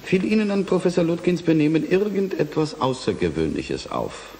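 An actor playing a sceptical, formal state prosecutor who questions witnesses in measured phrases in a radio drama. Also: male voice, fairly high pitch, wildly swooping pitch, mellow. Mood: probing. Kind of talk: German science fiction radio drama acting. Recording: hissy audio drama recording.

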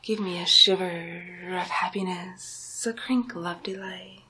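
A young adult woman performing an ASMR roleplay as a magical enchantress, whispering breathily in a hypnotic, drawn-out way. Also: on the low side, steady tone, background noise. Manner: slow, hypnotic, drawn-out phrasing. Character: magical enchantress turning listener into Halloween candy.

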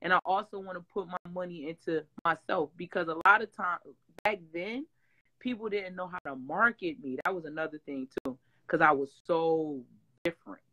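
Guest: rough-voiced woman, 40s, lively storyteller on a home video call. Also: lowish voice, normal speed, rough recording. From Detroit. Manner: animated storytelling, loud swings, laughs. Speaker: interview guest, former reality-show singer. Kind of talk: casual chat. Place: home room with green wall backdrop, video call.

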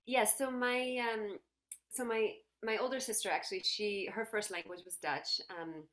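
A woman as an interview guest on a video call. She explains things in a friendly, conversational way, with a middle-pitched voice. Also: steady tone, normal speed, fairly bright tone. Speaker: interview guest, dance teacher. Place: room at home, video call.

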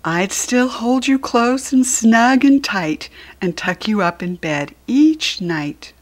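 A patient storybook parent fox, voiced by a woman, speaking slowly and tenderly. The words are measured and gentle, with expressive rises and falls meant to comfort a child. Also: on the high side, voice pushed up.

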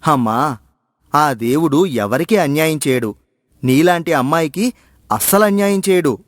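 A man narrator plays a kindly temple priest from a storybook, fatherly and affectionate. The voice is fairly high for a man and dry, with a gentle, reassuring way of talking. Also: lively intonation, moderate pace, no echo, lightly acted. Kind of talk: moral storybook dialogue.

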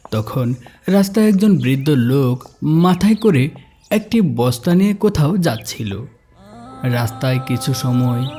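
A man's voice: on the high side, dark tone. A man reading scripted narration for a children's moral story cartoon, with music behind him.

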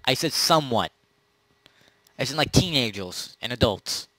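A man improvising casual narration as game master of a role-play, often halting and correcting himself. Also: mid-range voice, animated, pushed and bright, no echo, rough recording.

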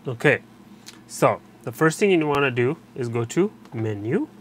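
Male tutorial narrator, average pitch, halting explanatory delivery, recorded with light background noise. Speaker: technician giving a tutorial. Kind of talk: unscripted step-by-step instructional narration. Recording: steady background noise, room ambience.

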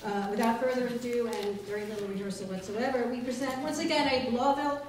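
A woman's voice: fairly high pitch, fairly even intonation, brisk. Echoey, distant middle-aged woman hosting live. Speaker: library director and show host. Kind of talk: live welcome speech to an audience. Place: small library room indoors with a live audience. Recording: very echoey room, distant mic, faint noise.

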